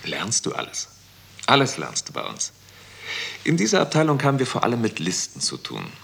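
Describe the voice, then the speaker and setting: An actor playing a cynical, unruffled office clerk in a 1960s German radio drama, sardonic and insinuating. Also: male voice, mid-range voice, wildly swooping pitch, normal speed, vocal fry, a bit bright, heavily acted. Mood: casual, insinuating. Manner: sardonic, insinuating, coolly didactic. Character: cynical, unruffled male clerk of a murderous bureaucracy. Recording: faintly hissy 1960s radio recording.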